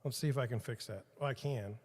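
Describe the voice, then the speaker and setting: A man's voice: mid-range voice, quick pace, darkish tone. Self-deprecating man in an echoey room. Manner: self-deprecating, rambling, talks to viewers. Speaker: podcast host. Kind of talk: casual self-talk while fixing gear on a livestream. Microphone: studio mic with changing levels.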